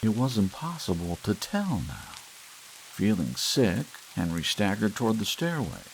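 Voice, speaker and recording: A man narrating an audiobook in a slow, soft, hushed ASMR reading over gentle rain. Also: fairly low pitch, very animated, vocal fry, a little hiss or hum, rough recording.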